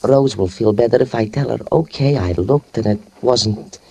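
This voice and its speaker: A man's voice: mid-range voice, a little mellow, husky. An actor playing a shy, humble older male tailor who speaks hesitantly, in a 1940s radio drama. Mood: timid worried. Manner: hesitant, halting, apologetic. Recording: old radio broadcast recording.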